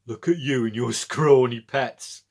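A man's voice: mid-range voice, measured pace, slightly rough. A man voicing a mocking older brother, a scornful boy in a storybook. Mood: taunting.

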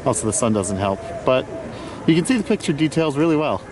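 A man's voice: mid-range voice, sing-song, brisk, noisy background. A man casually narrating a walking tour outdoors at a theme park, rambling and offhand and correcting himself as he goes. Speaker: vlog host.

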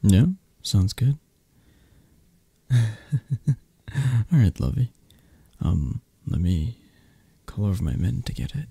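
A man playing an affectionate, possessive, protective mafia boss lover in an intimate ASMR roleplay, speaking softly and haltingly in a husky, breathy voice. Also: lowish voice, sing-song, dry recording, exaggerated voice. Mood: tender, casual. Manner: slow, hushed, tender, halting.

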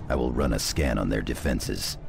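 Very deep gravelly veteran scout. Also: male voice, moderate pace, music in the background. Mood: calm, wary. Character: cautious, stoic veteran space marine scout. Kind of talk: video game character acting. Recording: loud battle ambience behind.

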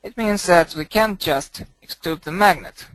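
A man presenting a software tutorial as a screencast voice-over, speaking haltingly in short broken phrases. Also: high voice, no room sound, rough recording.

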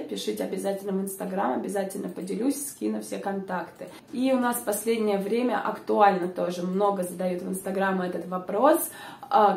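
Hoarse young woman vlogging at home. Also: medium pitch, a little mellow, reverberant, far from the mic. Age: young adult. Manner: chatty, many filler sounds. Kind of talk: casual informative vlog. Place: small room indoors. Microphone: lapel mic.

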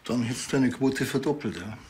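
An actor playing a dry, sardonic village policeman with a Bavarian accent and a laconic, deadpan delivery, in a TV crime comedy. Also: medium pitch, a little fast, noisy background, close to an everyday voice. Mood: dry, annoyed. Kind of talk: naturalistic TV crime comedy acting.

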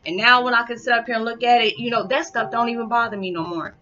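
A woman in middle age speaks frankly about her life, emphatic and animated. Her voice is bright and forceful, and the sound is a little dull and lo-fi from a built-in webcam mic in a small living room. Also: fairly high pitch, quick pace. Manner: candid, emphatic, animated hand gestures. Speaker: vlogger. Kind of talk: personal testimony vlog.

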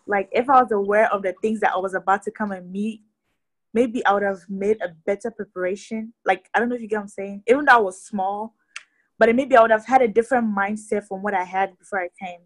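A teenage girl answering hesitantly on a video call, halting with fillers. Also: medium pitch, low-quality recording. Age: teens. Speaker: guest. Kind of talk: casual video call chat. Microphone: phone on video call.

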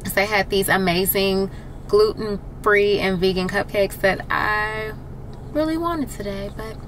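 Woman vlogging casually over clear background noise. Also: medium pitch, a little slow, a bit bright. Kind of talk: casual vlog chat to camera. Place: inside a parked car. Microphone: camera at arm's length. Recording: noisy background hum.

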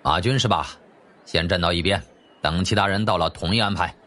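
A man's voice: low voice, fairly quick, bright, forceful tone, voice pushed down. A man voicing a stern, impatient magistrate of ancient China in an audiobook, curt and commanding. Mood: brisk.

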